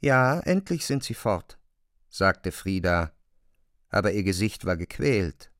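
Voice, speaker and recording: A male narrator reads literary prose and quotations for a radio drama. His low voice moves across a wide range and sounds dry and close. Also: animated, takes it slowly, a bit bright, dry recording. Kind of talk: literary narration with quoted dialogue.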